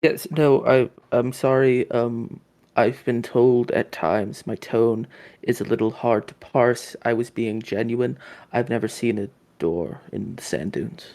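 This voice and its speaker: A man playing an earnest, socially awkward gentleman in a tabletop game, stiff and sincere in tone. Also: medium pitch, measured pace, close to an everyday voice. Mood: earnest, apologetic. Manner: flat-toned, sincere, stiff.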